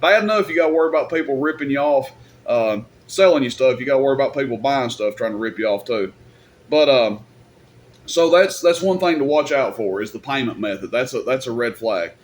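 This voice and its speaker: A man's voice: mid-range voice, animated, fairly quick, a little hiss or hum. A man giving casual, off-the-cuff advice in a rambling, plain-spoken, colloquial way, like a YouTube host. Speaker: YouTube host and radio equipment seller.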